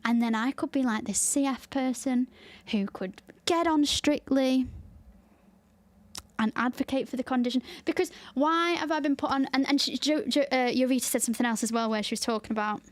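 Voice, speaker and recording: Lancashire woman chatting on her podcast, a bit high, dry close sound, laughs easily. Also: dry recording. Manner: chatty, open, quick to laugh. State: tired after a 24-hour stream. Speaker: podcast host. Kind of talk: casual chat.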